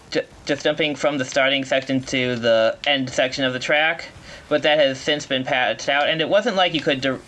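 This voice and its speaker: A man's voice: medium pitch, a bit bright, a little hiss or hum. A man giving live commentary while he plays through a racing game speedrun, explaining as he goes, correcting himself and reacting to his mistakes.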